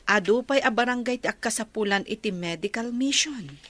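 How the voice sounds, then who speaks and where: A brisk, caring village nurse in an Ilocano radio play. Her voice is bright and a little high, with an Ilocano accent. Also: lively intonation. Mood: matter-of-fact. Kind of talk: Ilocano radio drama acting.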